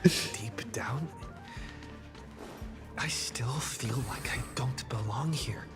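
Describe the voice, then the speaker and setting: Male actor as exhausted game character aching to leave, whispered and slow, under loud music. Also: medium pitch. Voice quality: breathy, whispering.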